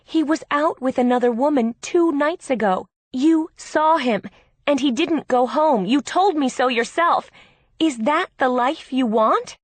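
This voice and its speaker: An exasperated older sister, played by a woman narrator, argues in a raised, forceful voice. She is blunt and protective, and the storybook recording is close and dry. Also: a little high, animated, measured pace, no echo, voice pushed up. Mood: despairing, insistent.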